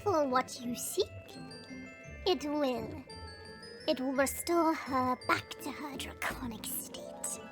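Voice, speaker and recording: A woman playing a sly, theatrical goblin witch in a fantasy audio roleplay, with coy, drawn-out delivery. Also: high voice, music in the background. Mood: solemn. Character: sly, theatrical female goblin witch, weepy when slighted.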